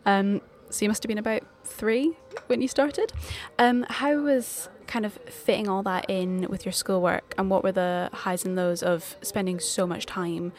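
Woman co-host asking gentle questions. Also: mid-range voice, steady tone, a little slow, hardly any pauses. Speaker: podcast co-host. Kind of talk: interview questions.

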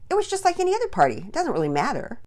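A woman's voice: mid-range voice, sing-song, normal speed, a little mellow, pitched above the performer's own voice. A woman voicing a sulky, edgy young girl in an audiobook story, with a whiny, defensive manner. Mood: cross, sulky.

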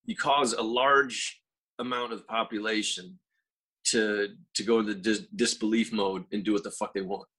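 Chill guy cohosting a podcast, husky bright voice, says dude a lot. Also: fairly low pitch, steady tone, normal speed, low-quality recording. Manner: laid-back, swears casually, says dude. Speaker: podcast co-host. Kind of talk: casual chat.

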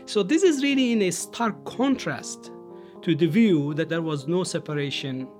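A man from Turkey, a history scholar, explaining in a measured way and stressing key words. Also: on the high side, lively intonation, a little mellow, soft background music. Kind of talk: interview excerpt, explanatory.

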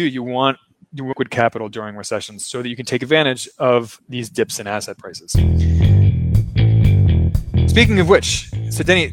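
A man hosting a casual finance chat, explaining ideas in a loose lecturing way with frequent laughs. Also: mid-range voice. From Baltimore, Maryland. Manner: laughs often, talks with a loose lecturing rhythm. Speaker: show host. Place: remote, in Brazil.

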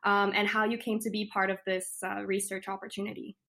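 A woman's voice: medium pitch, level tone, brisk, creaky, pushed and bright. Young woman co-hosting a student podcast. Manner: friendly, hesitant with fillers.

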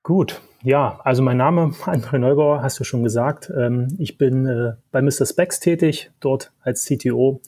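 A man's voice: medium pitch, a little slow, hardly any pauses, warm tone. A man from Berlin with a Berlin accent, a tech guest on a podcast, talking casually with many fillers and hesitations. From Berlin, Germany.

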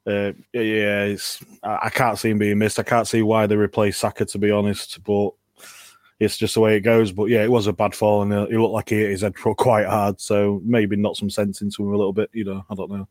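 A man's voice: lowish voice, little pitch movement, a little fast, darkish tone, no echo, clean studio sound. A man on a fan panel, talking bluntly and curtly. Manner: blunt, curt. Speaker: panel guest. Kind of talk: casual fan chat.

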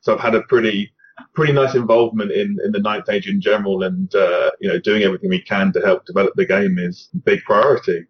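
A man's voice: fairly low pitch, fairly even intonation, fast talker, slight background noise. A man with a Scottish accent, talking casually as a podcast guest and often restarting his sentences. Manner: rambling with frequent restarts. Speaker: podcast guest, tournament organizer. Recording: slightly muffled podcast audio.

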